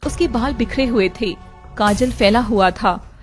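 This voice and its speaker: A woman's voice: fairly high pitch, measured pace, soft background music. A woman narrating a scripted story in a dramatic storytelling style.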